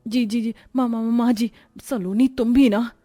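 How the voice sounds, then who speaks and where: Casting: woman as meek young daughter-in-law in a cartoon family tale, deferential, beseeching, raised pitch, dry recording with faint music. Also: fairly even intonation, measured pace, mellow, no room sound. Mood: flustered. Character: timid, pleading young veiled daughter-in-law. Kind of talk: Hindi moral-story cartoon voice acting.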